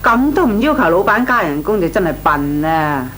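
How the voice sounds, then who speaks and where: An actress playing a blunt, practical, pushy aunt in a Cantonese comic radio drama, emphatic and matter-of-fact. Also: female voice, mid-range voice, big pitch swings, moderate pace, fairly bright tone. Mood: scolding. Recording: noisy, humming radio recording.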